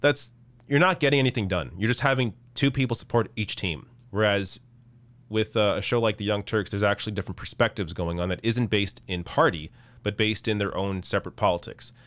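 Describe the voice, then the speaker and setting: A man in his thirties hosting a live political stream, reading chat and answering in a casual, halting way while losing his voice. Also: on the low side, fairly bright tone, no echo. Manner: casual, halting, many restarts. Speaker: political show host. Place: small dark room, indoors. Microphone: studio mic on arm in front.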